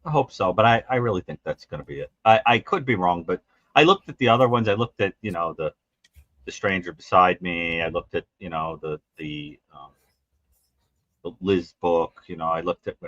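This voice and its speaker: A man talking as a guest on a live video call, rambling and halting with many restarts. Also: deep voice, a bit bright, dry recording. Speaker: forensic psychologist guest. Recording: dry, muffled call audio.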